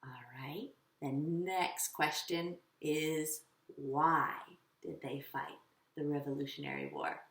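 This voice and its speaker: A woman teaching children, instructive and encouraging, posing questions and pausing for answers. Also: deep voice, a bit bright. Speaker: elementary school teacher. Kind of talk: children's lesson with questions and pauses. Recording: roomy echo, slightly distant mic.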